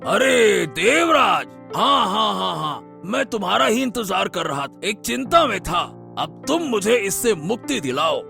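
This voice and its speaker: A man acts a cartoon folk-tale king, anxious and courteous. He speaks slowly and theatrically in a fairly high, gravelly voice over soft music. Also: sing-song, heavily acted. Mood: worried. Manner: drawn-out, theatrical. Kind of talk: animated Hindi moral-story voice acting.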